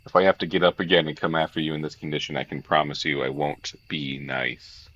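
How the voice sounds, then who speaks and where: A man's voice: very deep voice, level tone, brisk, a bit bright, dull audio. A man voicing a big, suspicious male dragon in a game read-along, accusatory and threatening.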